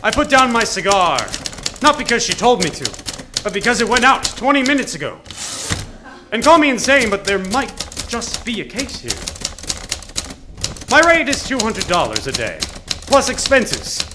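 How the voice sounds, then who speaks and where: A man playing a wisecracking hard-boiled private detective in a live comedic radio drama, narrating theatrically with deadpan quips and old-time gumshoe slang. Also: very high-pitched, normal speed, long pauses. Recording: noisy live theater stage recording.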